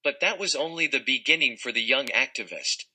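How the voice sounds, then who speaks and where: A man's voice: mid-range voice, animated, fairly quick, bright, forceful tone, breathy edge. A man reading an article aloud as scripted narration.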